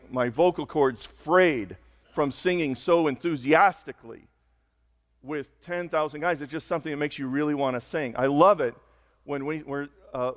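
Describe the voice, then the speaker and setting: A man preaching a sermon as a pastor, hoarse, talking conversationally and building to slow, emphatic peaks. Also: on the high side, long reverb tail. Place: church sanctuary.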